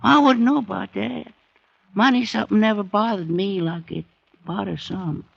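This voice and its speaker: Picture a high, gravelly old Southern freedman with a slow folksy drawl, humble on the surface but with something ominous under it. His voice comes through a muffled old radio broadcast. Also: fairly bright tone, heavily acted. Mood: sly. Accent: American Southern. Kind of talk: 1940s radio acting.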